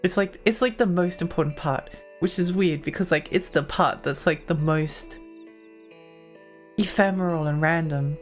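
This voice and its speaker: A young woman streaming a game, chatting in a rambling way with lots of fillers and giggles, her voice getting hoarse. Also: deep voice, moderate pace, soft background music. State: losing her voice. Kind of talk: casual live-stream chat while gaming.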